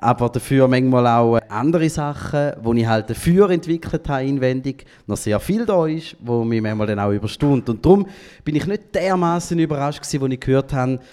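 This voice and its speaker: A man's voice: medium pitch, fairly quick, mellow, smooth. A man with a Swiss German accent hosting a talk show, musing in loose conversational phrasing as he questions his guest. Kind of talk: casual interview talk.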